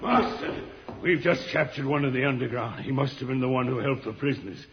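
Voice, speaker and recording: A man's voice: medium pitch, fairly quick, natural voice. An actor playing an obedient henchman guard to a villain, in old-time radio serial style. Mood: urgent, excited. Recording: old radio transcription, muffled, hissy.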